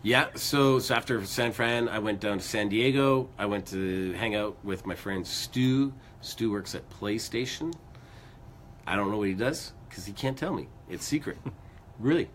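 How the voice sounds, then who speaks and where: A man co-hosting a music show in casual, rambling chat, a bit hoarse from losing his voice. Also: lowish voice, unhurried, a bit bright, slight background noise. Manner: rambling, upbeat, lots of fillers. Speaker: co-host of a music news show.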